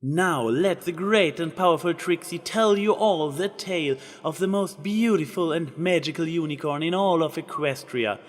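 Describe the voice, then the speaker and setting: A man's voice: fairly high pitch, moderate pace, few pauses, pitched above the performer's own voice, natural voice. A man voicing a proud, theatrical yet tender female unicorn magician in a story reading. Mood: grand, proclaiming.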